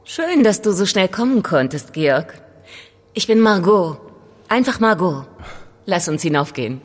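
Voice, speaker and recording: A woman's voice: lowish voice, big pitch swings, measured pace. A woman playing an elegant, composed young informant in an audio drama, explaining things in a teasing, didactic way. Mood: welcoming, composed. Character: elegant, self-assured young woman, calm knowing informant. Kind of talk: German audio drama acting.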